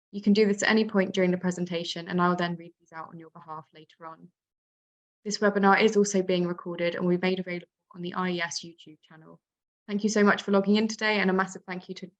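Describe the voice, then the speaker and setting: A woman's voice: medium pitch, highs cut off. A woman hosting a webinar, giving a formal scripted introduction of the speaker. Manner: brisk, formal welcome. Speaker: webinar host.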